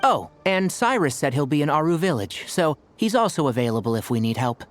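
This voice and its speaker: Animated, considerate young companion. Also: male voice, fairly high pitch, fairly quick, soft background music. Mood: calm, reassuring.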